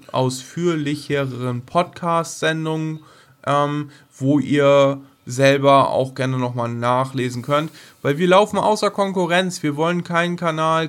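A man's voice: on the high side, fairly bright tone. A man co-hosting an unscripted podcast, chatting informally in colloquial speech sprinkled with English slang. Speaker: podcast co-host. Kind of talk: casual unscripted podcast chat.

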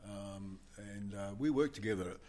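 A man speaking in a halting, soft-spoken way, full of pauses and fillers. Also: fairly low pitch. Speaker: guest. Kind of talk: casual chat.

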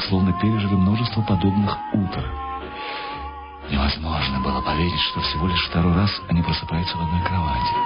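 A man reading scripted literary narration in the manner of a radio drama. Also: sits low. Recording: dull lo-fi radio sound. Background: music behind the voice.

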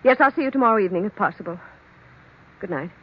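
An actress playing a suspicious, sharp-tongued wife in an old-time radio mystery, with brisk, insistent questioning. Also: female voice, medium pitch, animated, husky. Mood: worried, guarded. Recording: dull, lo-fi old radio broadcast.

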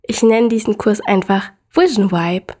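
A young woman hosts a solo podcast in an upbeat, eager way, speaking directly to her listener. Her voice has a slight hoarseness from a lingering cold. She sits at a middle pitch for a woman. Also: measured pace, darkish tone. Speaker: podcast host. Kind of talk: casual solo podcast talk.

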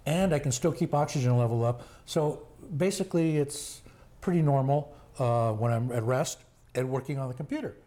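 Older grandfather, hoarse and mellow, unhurried and halting in relaxed conversation. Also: medium pitch. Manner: slow, halting, many fillers. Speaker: grandfather being interviewed. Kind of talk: casual family interview.

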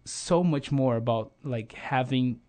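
A man's voice: mid-range voice, slow pace, dark tone. A man in his thirties from Brazil, speaking English with a Brazilian accent as an interview guest, earnest and hesitant with many fillers and restarts. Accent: Brazilian Portuguese. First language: Portuguese. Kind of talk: casual interview conversation.

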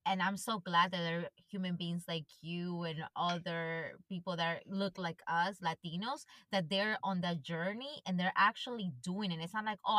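A woman's voice: lowish voice, pushed and bright. A woman from Ecuador hosting a casual podcast chat, talking in a chatty way with lots of fillers and a few Spanish phrases. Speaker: podcast host.

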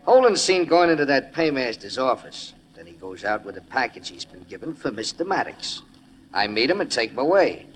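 An actor playing a menacing, smug American gangster in a 1940s radio crime drama, giving clipped, slangy orders. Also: male voice, medium pitch, big pitch swings, brisk, close to an everyday voice. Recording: noisy vintage radio recording.

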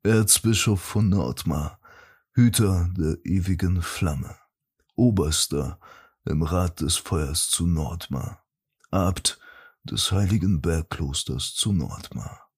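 Pompous fantasy high priest played by a man, very low, raspy, grave and unhurried. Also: a little mellow, exaggerated voice. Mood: pompous gravity. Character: solemn, righteous archmage priest reading his letter aloud. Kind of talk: fantasy audiobook, scripted dramatic monologue.

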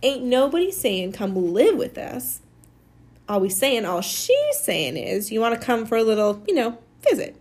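Storybook woman doing a streetwise boy: snappy, pushy, slangy, animated and high. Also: moderate pace, breathy edge, exaggerated voice. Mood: insistent. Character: brash, tough, streetwise boy, impatient.

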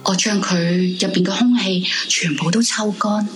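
A woman's voice: mid-range voice, steady tone, moderate pace, close to an everyday voice. Casting: female actor as haunted young insomniac, radio play lead and narrator, medium register, music underneath. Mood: eerie, intimate. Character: troubled, sleepless young woman haunted by her boyfriend. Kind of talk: Cantonese radio drama acting and narration. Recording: music behind the voice.

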